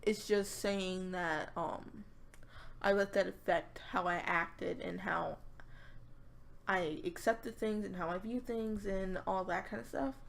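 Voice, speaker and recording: A young woman with a raspy voice, chatting casually to her viewers and rambling with lots of fillers and restarts. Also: medium pitch, fairly even intonation, slow pace. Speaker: YouTuber. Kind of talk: casual vlog chat.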